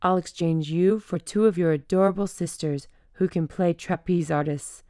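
A man's voice: sits high, fairly even intonation, moderate pace, warm tone, clear, resonant tone, dry recording, clean studio sound, voice pushed up. A man voicing a shrewd, bossy female elephant who scolds and haggles bluntly. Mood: stern. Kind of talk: audiobook character voice.

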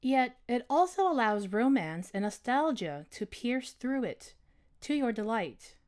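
Brief: wise female owl, high and unhurried, probing gently, voiced by a male narrator. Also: very animated, clean tone, full character voice. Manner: soft, questioning, unhurried.

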